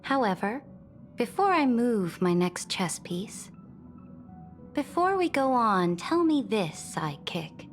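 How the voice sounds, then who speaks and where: A proud, calculating empress from a video game. She speaks very slowly and regally in a fairly high voice, with long hall reverb and music underneath. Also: sing-song. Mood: knowing, measured. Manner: regal, deliberate, commanding. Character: imperious, ambitious female emperor, proud and calculating. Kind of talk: scripted video game voice acting.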